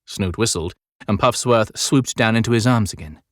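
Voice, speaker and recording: A man's voice: lowish voice, normal speed, dry recording, clean studio sound. A man narrating an audiobook in a scripted, dramatic storytelling style.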